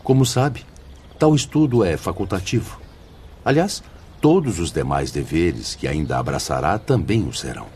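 A man's voice: low-pitched, sing-song, normal speed, a little mellow, slight background noise. A man playing a wise, fatherly spirit mentor in a radio drama, solemn and declamatory. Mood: instructive, solemn. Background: background music.

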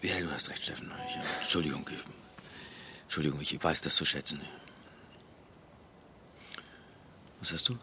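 An actor playing a self-righteous, insistent male politician who gets flustered and halting, in a naturalistic radio crime drama. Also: rumbling low, fairly even intonation, over music. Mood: subdued, apologetic.

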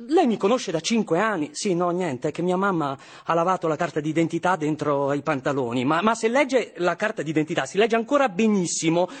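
Exasperated, husky young Italian man. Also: sits high, exaggerated voice. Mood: pleading, agitated. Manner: emphatic, pleading, spelling out words insistently. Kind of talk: theatrical monologue in a radio stage play. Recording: music behind the voice.

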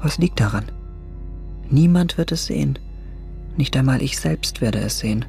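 Courteous, brooding young gentleman. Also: medium pitch, animated, moderate pace, vocal fry, soft background music. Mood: brooding, intense. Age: young adult. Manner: polite, formal, refined wording. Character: vain, secretive young gentleman, outwardly courteous, inwardly brooding. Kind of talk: radio drama acting.

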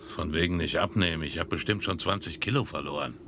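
An actor playing a gruff, burly former wrestler turned starving sailor in a radio play, grumbling and threatening in a gravelly voice. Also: male voice, low-pitched, fast talker, noisy background, natural voice. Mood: grumbling threat.

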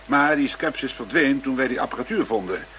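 An actor playing a courteous, measured male investigator in a Dutch radio drama. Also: mid-range voice, expressive, close to an everyday voice. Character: courteous male investigator, sceptical but open-minded. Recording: muffled, noisy old radio drama recording.

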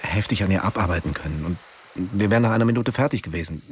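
An actor playing a brooding, conflicted diving instructor, speaking introspectively and hesitantly in an intimate radio drama. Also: male voice, deep voice, rapid-fire, slight background noise, close to an everyday voice. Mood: troubled, reflective. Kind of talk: intimate first-person radio drama narration and dialogue. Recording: studio radio drama with music.

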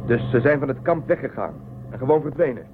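A man's voice: mid-range voice, expressive, quick pace, lightly acted. A man playing a brusque, impatient and headstrong spaceship commander in a science fiction radio play. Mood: alarmed. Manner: brusque, challenging. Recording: phone-like lo-fi audio with loud noise.

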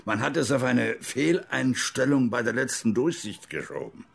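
An actor playing a bitter older East German former officer and grieving father, telling his story broodingly and haltingly in a radio drama. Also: medium pitch, vocal fry. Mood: defensive, bitter.

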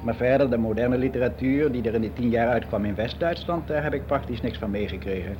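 A man's voice: medium pitch, fast talker, natural voice. Actor as lonely older man, noisy recording. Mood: wistful, reflective. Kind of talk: naturalistic radio drama monologue, confessional. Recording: very noisy radio play recording.

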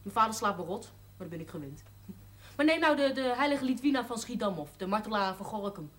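A woman's voice: medium pitch, expressive, brisk, lightly acted. An actress playing a blunt, chatty young woman looking for work as a maid, in a 1970s TV drama. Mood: cheeky. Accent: Dutch. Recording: old TV soundtrack with faint hiss.

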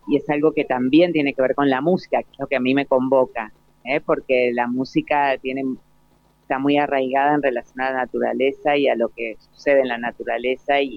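An Argentine man giving spontaneous, explanatory interview answers, often repeating and rephrasing as he goes. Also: mid-range voice. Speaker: orchestra and choir programme coordinator. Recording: thin, phone-like radio sound.

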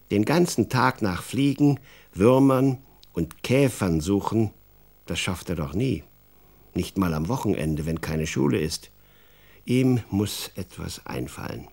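Kids radio play narrator, really unhurried, husky, pitch goes all over, dramatic storytelling. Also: male voice, heavily acted. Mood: wry, deliberate. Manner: expressive, deliberate storytelling. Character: expressive male storyteller who also talks with the boy.

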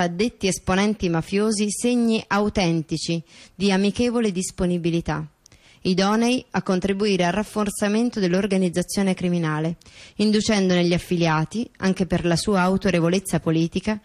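A woman performs a staged reading of formal legal and historical text. Her recitation is measured and deliberate. Her voice has an average pitch for a woman. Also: darkish tone. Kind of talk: staged reading of a court ruling.

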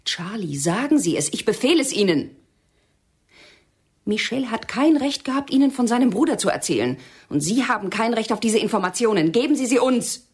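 A woman's voice: a little high, lively intonation, brisk, breathy edge. An actress in a radio drama playing a cold, domineering female militant interrogator, stern and mocking. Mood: pressing, scornful.

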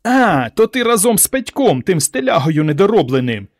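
A man's voice: fairly high pitch, very animated, fairly quick, voice pushed up. A man voicing an angry village mother in a story, scolding and wailing with indignation. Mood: angry, threatening.

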